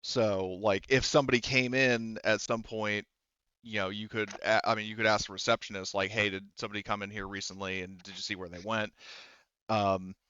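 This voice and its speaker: A man casually improvising as a tabletop game master, explaining haltingly and often restarting his sentences. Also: lowish voice, level tone, bright, forceful tone, clear, resonant tone, dry recording, dull audio.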